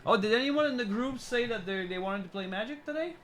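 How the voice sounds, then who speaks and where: A man in his forties chatting casually as a live streamer, halting and repeating words as he rambles. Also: extremely high pitch, animated, fairly bright tone, faint music behind. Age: 40s. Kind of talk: casual chat with stream viewers.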